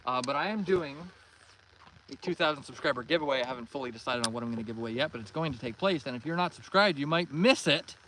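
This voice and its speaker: A man's voice: mid-range voice, sharp tone. A man with a Canadian accent chats casually as a livestream host, reading out and answering viewer comments in a friendly, welcoming way. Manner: friendly, welcoming, thanks and greets viewers by name. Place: outdoors in a canoe on a pond. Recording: noisy outdoor recording with water and canoe sounds.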